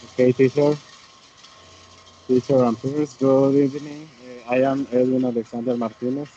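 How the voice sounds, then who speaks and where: A man's voice: mid-range voice, little pitch movement, normal speed, mellow. A man in his thirties with a Colombian Spanish accent, introducing himself to his class over a video call with many fillers and restarts. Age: 30s. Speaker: student. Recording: muffled call audio, faint noise.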